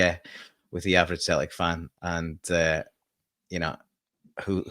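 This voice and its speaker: Deep-voiced man from Australia, opinionated. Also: fairly bright tone, no room sound, clean studio sound. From Perth, Australia. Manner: opinionated, hesitant with many ums. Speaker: podcast guest. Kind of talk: casual football podcast chat.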